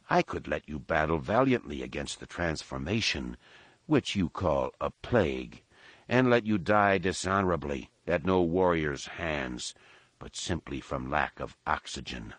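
Villain, deep and slow: a man playing a menacing alien warrior, icy calm, dry close sound. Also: dry recording, exaggerated voice. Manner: calm, cold, deliberate. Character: menacing male alien warrior disguised as a soldier.

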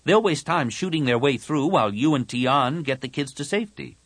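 A man's voice: a little high, animated, brisk, husky, dry recording, pitched above the performer's own voice. A man voicing an earnest, slightly awkward teenage boy hero in an audiobook. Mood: resolved. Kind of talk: audiobook character dialogue.

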